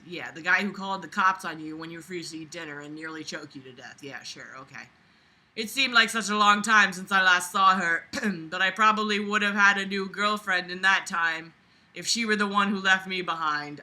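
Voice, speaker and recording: A woman's voice: mid-range voice, sharp tone. A woman reading a book aloud and breaking in with sarcastic, exasperated, foul-mouthed commentary. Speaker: online book reader and commentator.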